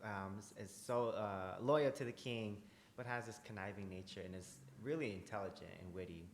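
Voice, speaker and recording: A man speaking thoughtfully in a casual group discussion, pausing often with ums. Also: fairly low pitch. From United States. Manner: thoughtful, hesitant with many ums. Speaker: actor. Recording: roomy echo, faint noise.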